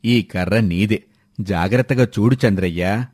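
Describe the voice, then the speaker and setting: A man playing a steady, shrewd village headman with a measured, authoritative manner in a moral story. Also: fairly low pitch, dry recording, clean studio sound. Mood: reassuring. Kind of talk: acted dialogue in a moral story.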